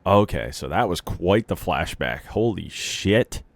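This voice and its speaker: A man's voice: low voice, expressive, measured pace, gravelly. A man giving casual, off-the-cuff reaction commentary, informal and exclamatory with some swearing. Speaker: reaction video host. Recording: show soundtrack playing behind.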